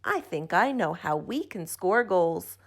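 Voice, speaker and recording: Woman voicing an earnest little boy turtle, swooping pitch. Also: expressive, unhurried. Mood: hopeful. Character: young male turtle, earnest, eager, easily discouraged child.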